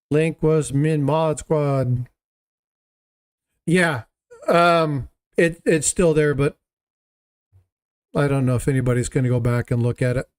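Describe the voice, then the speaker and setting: A man's voice: fairly high pitch, a little mellow, clean tone. A man hosting a casual livestream chat, rambling and self-deprecating as he talks. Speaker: livestream host.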